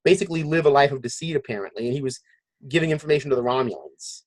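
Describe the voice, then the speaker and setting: A man hosting a casual podcast discussion, halting as he thinks aloud. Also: medium pitch, animated, no room sound, low-quality recording. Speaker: podcast host.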